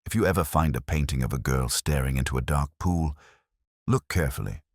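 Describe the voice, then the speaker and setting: A man's voice: very deep voice, brisk, no room sound, clean studio sound. A man voicing a tender, resolute mother in a letter read aloud in an audiobook, solemn in manner. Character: tender, resolute mother writing to her daughter.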